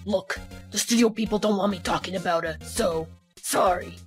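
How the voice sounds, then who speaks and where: A woman's voice: mid-range voice, moderate pace, lightly acted. A woman voicing a cranky, scolding old female security guard in an amateur game fandub. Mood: curt, gossipy. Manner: scolding, melodramatic. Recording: music playing behind the voice.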